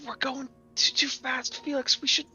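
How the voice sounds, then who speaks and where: A timid, scared boy acted out by a man in a tabletop roleplay, speaking cautiously in a raised pitch. His words come hesitantly over a slightly dull recording. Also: little pitch movement, normal speed, bright, forceful tone, faint music behind, exaggerated voice. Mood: fearful, hesitant.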